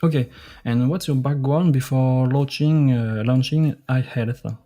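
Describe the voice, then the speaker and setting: A man, a native French speaker, hosting a podcast and asking questions in careful, slightly halting English. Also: medium pitch, dark tone, faint music behind. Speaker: podcast host. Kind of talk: interview questions.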